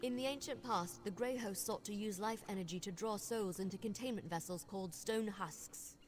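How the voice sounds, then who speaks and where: A woman acts out a young female vampire investigator from a fantasy game. She is brisk and wry, explaining things with confident, conversational ease. Her voice sits at a middle pitch. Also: faint music behind, close to an everyday voice. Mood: matter-of-fact. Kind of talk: video game character dialogue.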